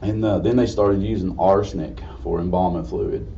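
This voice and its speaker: A man with a slightly raspy voice gives an informal history lecture in a folksy, halting way. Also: low-pitched, fairly even intonation, mellow. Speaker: guest lecturer. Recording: distant mic, dull muffled sound, faint noise.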